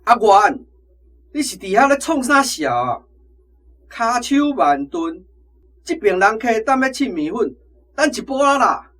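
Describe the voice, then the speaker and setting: A high-voiced, sneering shopkeeper. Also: male voice, big pitch swings, a little slow, pauses often, sharp tone, full character voice. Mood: impatient scolding. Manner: brusque, sarcastic. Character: curt, sneering male grocery shop owner, scolding and condescending. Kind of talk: Taiwanese-language radio drama acting.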